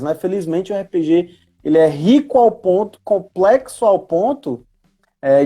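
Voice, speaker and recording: Northeastern brazilian guy, mellow and a bit low-key in tone, chatting on a live, lots of little tag questions. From Ceará, Brazil. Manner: chatty, frequent tag questions seeking agreement. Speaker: guest, history teacher and educational coordinator. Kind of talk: casual live chat, explaining.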